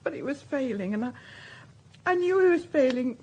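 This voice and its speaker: High, husky, fretful middle-aged woman. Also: lively intonation, normal speed, warm tone, low-quality recording. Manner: hesitant, fretful. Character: grieving, anxious middle-aged daughter and mother. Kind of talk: radio drama acting.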